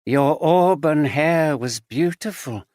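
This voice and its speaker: A man voicing a disturbed middle-aged woman, slow and dreamy, then sharp and pleading. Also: fairly high pitch, no room sound, studio quality, pitched above the performer's own voice. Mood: eerie tender.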